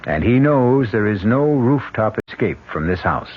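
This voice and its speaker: A man delivering deliberate, ominous scripted narration for a radio drama. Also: on the low side, animated, a little hiss or hum, natural voice. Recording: dull old radio broadcast recording.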